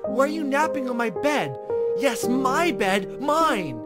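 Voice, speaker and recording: A demanding little girl in a visual novel as a woman acts her out, crude and whiny. Her raised voice swings up and down as she badgers like a tiny tyrant. Loud piano music plays underneath. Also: sits high, lively intonation, slow. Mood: indignant. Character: crude, bossy, demanding twelve-year-old girl.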